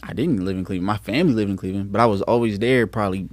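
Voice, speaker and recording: A man's voice: on the low side, rapid-fire, no echo, studio quality. An American man from Akron, Ohio, chatting casually with friends as a podcast co-host. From Akron, Ohio, United States. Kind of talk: casual chat among friends.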